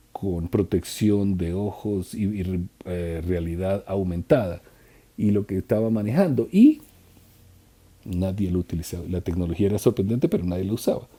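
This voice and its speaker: A man interpreting a conference talk live, in a halting way with restarts and trailing phrases. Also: low-pitched, mellow, husky.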